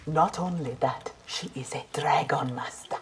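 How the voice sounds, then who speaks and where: A woman's voice: low voice, measured pace, noisy background. An actress playing a proud, principled young ninja warrior woman in a fighting game, with a firm, clipped, earnest delivery. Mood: firm, respectful. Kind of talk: dramatic fighting game dialogue.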